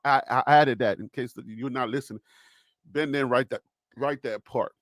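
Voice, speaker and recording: A man's voice: medium pitch, steady tone, moderate pace, fairly bright tone, no echo, clean studio sound. A man with a Liberian accent hosting a solo sports livestream, joking and repeating himself as he talks to his chat. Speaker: sports show host.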